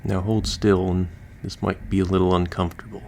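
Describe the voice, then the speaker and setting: A man's voice: deep voice, flat delivery, a little fast, gravelly, darkish tone, a little hiss or hum. A man playing a chatty, easygoing surgeon who patches up the undead, explaining casually as he works in a listener roleplay.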